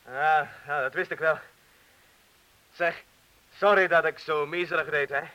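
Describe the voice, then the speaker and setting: An actor in a radio drama playing an apologetic, probing workmate who questions hesitantly. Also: male voice, fairly high pitch, steady tone, unhurried, sharp tone, rough recording. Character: bomb disposal man's probing, apologetic male colleague.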